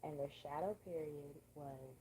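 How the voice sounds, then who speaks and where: Woman, livestream host, hoarse, laid-back and profane, low voice, faint background hiss. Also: moderate pace, mellow, rough recording. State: hoarse, lost voice. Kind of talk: casual livestream chat.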